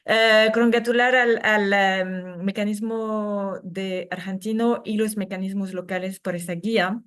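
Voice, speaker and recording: A woman's voice: mid-range voice, fairly even intonation, measured pace, fairly bright tone, clear, resonant tone. A woman speaking Spanish as a second language, giving a conference talk over a video call, with many fillers and restarts. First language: not Spanish. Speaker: secretary general of a human rights NGO.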